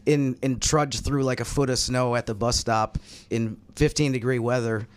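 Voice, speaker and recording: Male sports podcast co-host from New York, mid-pitched, wry and conversational. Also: fairly even intonation, normal speed, smooth. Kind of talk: casual sports roundtable chat.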